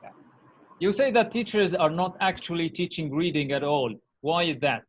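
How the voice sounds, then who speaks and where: A man's voice: on the high side, normal speed, a little hiss or hum. A man interviewing a guest, politely putting questions and responding to the answers.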